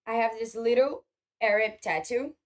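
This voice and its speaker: Woman speaking halting English. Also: fairly high pitch. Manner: halting, searching for English words. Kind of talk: casual vlog talk.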